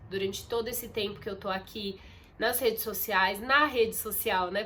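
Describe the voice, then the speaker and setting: A young woman vlogs into a phone propped on a ring light, her slightly high voice stuffy and muffled from congestion. She chats in a relaxed, confiding way, as if updating friends. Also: a little hiss or hum. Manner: chatty, confiding, addresses viewers as "gente". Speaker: content creator. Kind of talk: casual vlog talk to camera.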